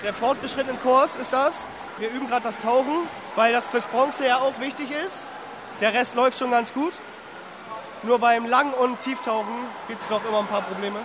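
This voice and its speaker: Very high-pitched young man, noisy pool. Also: normal speed. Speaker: swimming instructor. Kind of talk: casual interview talk. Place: indoor swimming pool. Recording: noisy, busy swimming pool hall.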